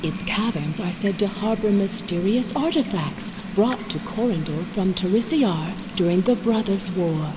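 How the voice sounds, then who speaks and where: A woman playing a solemn storyteller, narrating a fantasy video game in a grand, measured way. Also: mid-range voice, animated, natural voice. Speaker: campaign narrator. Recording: noisy game audio with hiss.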